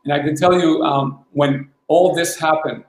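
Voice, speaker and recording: An older man speaks with determination over a video call, doubling back on his words. His voice sits a little high and rings in an echoey room. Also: fairly even intonation, a little slow. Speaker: panel guest, arts promoter.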